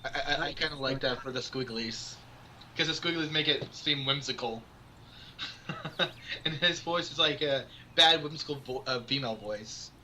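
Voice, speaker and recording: A man's voice: medium pitch, normal speed, pushed and bright, a little hiss or hum. A young man bantering casually and boisterously as co-host of a let's play.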